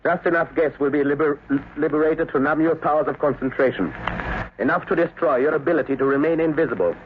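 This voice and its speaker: An actor playing a suave, sinister doctor who runs a spy ring, measured and politely menacing, in 1930s radio drama style. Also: male voice, on the high side, natural voice. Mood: gloating, rising intensity. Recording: noisy, narrow-band 1938 radio broadcast.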